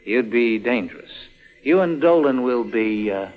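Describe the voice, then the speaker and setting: A man's voice: medium pitch, animated, measured pace, lightly acted. Man as a cold, muffled radio villain officer. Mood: coldly smug. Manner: clipped, menacing, formal. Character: cold, controlled male villain lieutenant. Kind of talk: old-time radio drama acting. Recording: muffled vintage radio recording.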